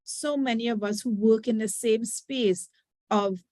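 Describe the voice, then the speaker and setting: A woman joins a panel discussion, talking without a script. Her voice is somewhat high. The sound is close and dry. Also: unhurried, mellow, dry recording. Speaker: panel participant. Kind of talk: unscripted discussion.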